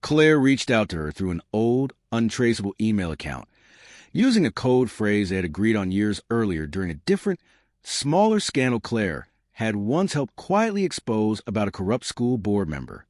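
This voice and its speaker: A man narrating a dramatic story as a scripted audiobook, with deliberate phrasing that draws the listener in. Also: lowish voice, huge pitch swings, a little mellow, no echo, studio quality.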